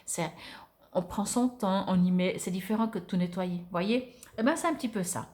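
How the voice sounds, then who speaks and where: A woman with a medium-pitched voice speaks as an oracle card reader addressing her viewers. Her delivery is informal and conversational, with hesitations as she feels her way toward the right words. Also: moderate pace, a little mellow. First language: French.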